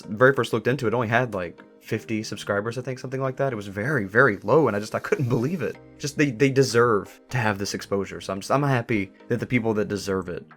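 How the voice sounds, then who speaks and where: Fast-talking, effusive low-voiced man. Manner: effusive, repeats words for emphasis. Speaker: talk show host. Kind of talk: casual enthusiastic discussion.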